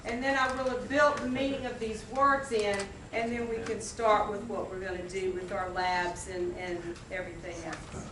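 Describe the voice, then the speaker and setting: A woman's voice: mid-range voice, fairly even intonation, moderate pace. A woman teacher presenting classroom games to colleagues at a training, talking chattily and restarting her sentences. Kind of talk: classroom demonstration talk. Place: school meeting room. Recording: roomy, distant mic, faint noise.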